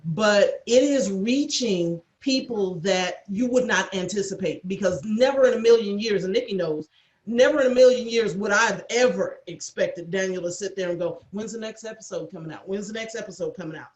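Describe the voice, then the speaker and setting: A woman chatting casually on a video call, telling anecdotes in an earnest, halting way. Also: mid-range voice. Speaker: audio editor and cast crew member. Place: indoor room on a video call. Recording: echoey room over video call.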